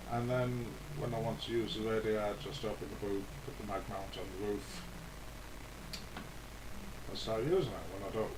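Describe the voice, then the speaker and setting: A British man giving a casual DIY vlog explanation in an informal, hesitant, rambling way that tends to trail off. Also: fairly low pitch. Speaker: hobbyist video host. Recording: echoey, clearly noisy home-video sound.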